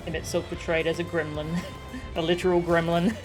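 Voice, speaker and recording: A woman's voice: fairly low pitch, expressive, a little slow, over music. A woman streamer giving casual, enthusiastic reaction commentary on a game. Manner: enthusiastic, admiring asides.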